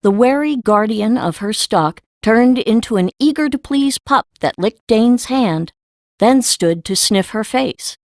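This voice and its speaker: A woman's voice: a little high, lively intonation, unhurried, no echo, studio quality. A woman reading scripted story narration for an audiobook.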